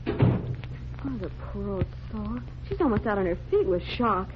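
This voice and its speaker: An actress playing a detective's anxious, excitable female companion, fretful and exclamatory, in 1940s radio drama style. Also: mid-range voice, measured pace. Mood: flustered. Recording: noisy, muffled vintage 1940s radio recording.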